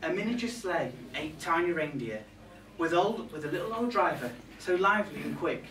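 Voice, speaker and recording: A man's voice: on the high side, lively intonation, moderate pace, a bit bright, distant mic. A young man playing a catty, resentful reindeer in a comic monologue, indignant and gossipy, with arch asides to a live audience. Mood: sarcastic, gossipy. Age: young adult. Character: catty, resentful male reindeer grumbling about Santa's crew. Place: small pub room with a live audience. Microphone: far camera. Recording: echoey room from afar, background noise.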